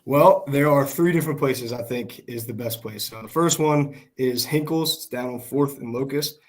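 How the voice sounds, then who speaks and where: A man in his twenties with an American accent and a hoarse voice, chatting casually as a guest. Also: medium pitch, moderate pace, low-quality recording. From Pennsylvania, United States. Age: 20s. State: hoarse from shouting. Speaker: guest, small business worker. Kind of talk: casual chat. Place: video call.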